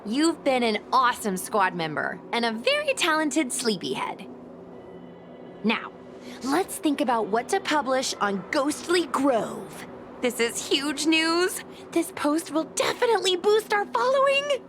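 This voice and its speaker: A woman's voice: high-pitched, sing-song, measured pace, noisy background, full character voice. A woman playing a perky, confident young streamer in an anime-style video game. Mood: upbeat, reassuring. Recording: background music under the voice.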